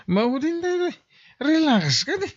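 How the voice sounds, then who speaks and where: An irresponsible drinker of a husband acted out by a man for an audio drama, defensive and evasive. His pitch swings widely, and the sound is dull and lo-fi. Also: sing-song, extremely slow, fairly bright tone, dry recording, full character voice. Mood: tipsy, brushing off. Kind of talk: amateur audio drama acting.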